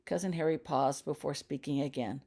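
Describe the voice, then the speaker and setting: A woman's voice: sits low, steady tone, normal speed, dark tone. A woman reading her own fiction aloud as scripted audiobook narration.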